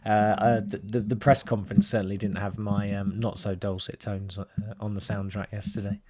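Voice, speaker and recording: Hoarse English football journalist on a podcast panel, halting delivery, low monotone. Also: male voice, a crowd in the background. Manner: hesitant, repeats words while speaking. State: hoarse, recovering voice. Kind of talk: casual podcast discussion.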